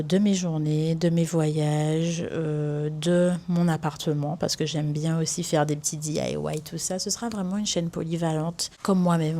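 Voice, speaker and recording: A woman's voice: low voice, darkish tone. A young woman from Guadeloupe introducing herself in her first vlog, speaking chatty and enthusiastic with casual fillers. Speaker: YouTuber. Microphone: microphone with camera in front.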